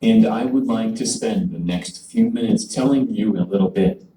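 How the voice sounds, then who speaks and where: A synthetic male voice from a speech device, pitched a little low with a mellow, rounded tone. It delivers a prepared conference talk in measured, formal sentences. The sound carries through a roomy hall, picked up from some distance. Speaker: conference speaker.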